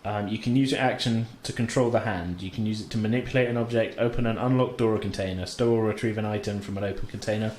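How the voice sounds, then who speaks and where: A man runs a casual tabletop game as game master. His delivery is conversational and halting, with chuckles between phrases. The room echoes around his voice, over faint background noise. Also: fairly low pitch, fairly even intonation, darkish tone. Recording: roomy, echoing home recording with faint noise.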